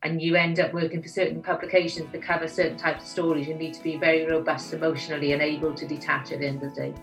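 A woman's voice: low-pitched, little pitch movement, quick pace, faint music behind, low-quality recording. A middle-aged woman with a Welsh accent, a magazine editor speaking candidly and emphatically as a guest in a podcast interview. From Wales.

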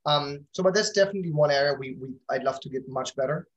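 A man's voice: on the high side, fast talker, dull audio. A man speaking as an interview guest about his own company in casual talk, often repeating words as he thinks.

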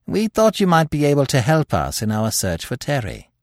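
A man's voice: fairly high pitch, wildly swooping pitch, normal speed, dry recording, studio quality, voice pushed up. A man voicing a courteous, anxious police commissioner in an audiobook, formal and businesslike.

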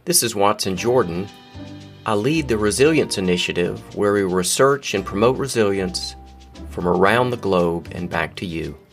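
Male podcast host, somewhat low voice, upbeat, promotional, faint music. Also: lively intonation, normal speed. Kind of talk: podcast intro and interview.

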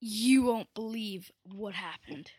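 A woman's voice: mid-range voice, darkish tone, husky, dry recording. A female performer playing a sheepish, hesitant schoolboy in school readers' theatre. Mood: amazed. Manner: halting.

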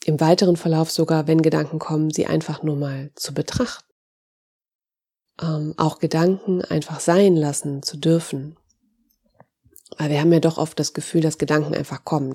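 A woman podcast host and holistic coach with a cold, her voice a little hoarse and congested, talking gently and encouragingly with many fillers. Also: sits low. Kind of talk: podcast monologue.

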